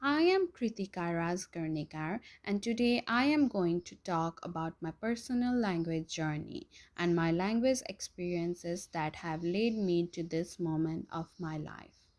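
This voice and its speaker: A young woman from Nepal with a Nepali accent, reading her own podcast in a steady, careful way. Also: lowish voice, a little slow. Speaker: student podcaster. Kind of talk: read-aloud personal podcast.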